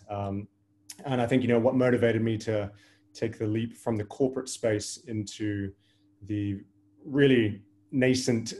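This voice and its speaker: A man's voice: lowish voice, warm tone. A middle-aged man introducing himself as an investor on a remote panel. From Hawaii, United States.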